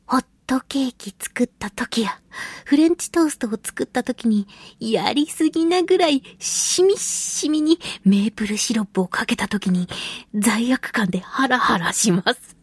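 A woman hosting a casual solo radio talk and reading listener mail, chatty, giggly and expressive, with a slightly breathy voice. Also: on the high side, normal speed, dry recording. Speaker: radio show host and voice actress.

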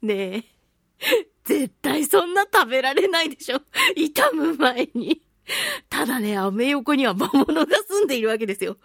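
A woman's high voice with very lively, swooping intonation, chatting casually as a radio host. She spins a personal anecdote playfully and poking fun at herself, in a close, dry recording. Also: moderate pace, no echo. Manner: animated, chatty storyteller with playful self-mockery. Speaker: host of a talk radio show, voice actress.

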